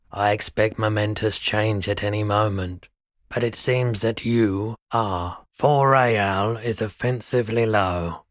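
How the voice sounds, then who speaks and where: An oddly philosophical male pawnshop keeper for a video game. His voice sits on the low side and he takes his time, stretching phrases out in a deadpan, laid-back way. The sound is dry, with no room around it. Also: no room sound. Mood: deadpan, mellow haggling. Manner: deadpan, unhurried, drawn-out phrasing. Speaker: shopkeeper character. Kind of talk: video game character dialogue.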